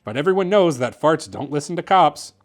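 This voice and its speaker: A man hosting and narrating a children's bedtime story in an expressive, theatrical way. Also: on the high side, fairly quick, soft background music. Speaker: host reading children's books aloud.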